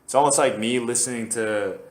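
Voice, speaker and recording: A man's voice: medium pitch, brisk, reverberant. A man from Korea, hosting a casual solo podcast and rambling with lots of fillers and restarts. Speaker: podcast host.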